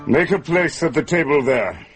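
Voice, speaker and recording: An actor playing a fair-minded Welsh lord sitting in judgment in a radio drama, stately, questioning and authoritative. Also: male voice, fairly high pitch, lively intonation, normal speed, husky, soft background music, poor audio. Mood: satisfied, authoritative.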